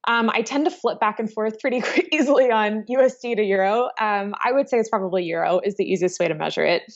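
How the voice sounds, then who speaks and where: A woman's voice: medium pitch, quick pace. Woman in her thirties, from Chicago, casual interview answers, frequent ums, muffled lo-fi audio. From Chicago, United States. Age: 30s. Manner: thoughtful, many ums and hedges. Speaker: interviewee, entrepreneur. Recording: dull lo-fi sound.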